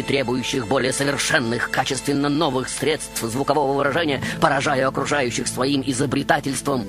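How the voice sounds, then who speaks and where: A man's voice: medium pitch, lightly acted. A man playing a proud, flamboyant baroque composer recounting his life in a grandiose, ironic radio monologue. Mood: lofty musing. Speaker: radio show host. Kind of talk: theatrical first-person radio monologue. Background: music behind the voice.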